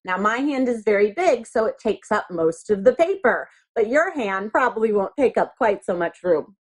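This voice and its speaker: A woman's voice: medium pitch, expressive, normal speed, husky. A woman teacher giving a step-by-step craft lesson to young children, explaining things simply and patiently.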